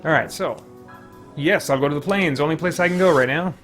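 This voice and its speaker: A man's voice: on the high side, a little fast, a bit bright, soft background music. A man hosting a game livestream, chatting casually in a rambling, conversational way as he plays. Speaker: video game livestream host. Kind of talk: casual chat and play commentary.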